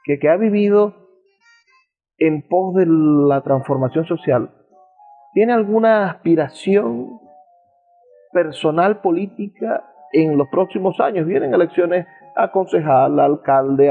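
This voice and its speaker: A man with a Venezuelan accent conducting a conversational radio interview in a wordy, discursive way. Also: fairly high pitch. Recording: thin phone-like radio sound with music.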